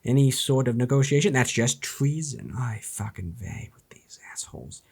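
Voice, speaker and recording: A man's voice: mid-range voice, lively intonation, moderate pace, gravelly, a little mellow, slightly rough. A man from New Jersey reading an old political speech aloud and breaking into sarcastic, profane commentary. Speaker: YouTube history commentator.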